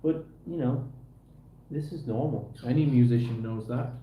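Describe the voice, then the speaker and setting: A man's voice: mid-range voice, moderate pace, lo-fi sound. An easygoing man chatting casually to livestream viewers and to himself as he does hands-on work, in a tutorial style. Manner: easygoing, talks to himself and viewers while working. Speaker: livestreamer fixing a guitar. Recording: roomy echo, faint background noise.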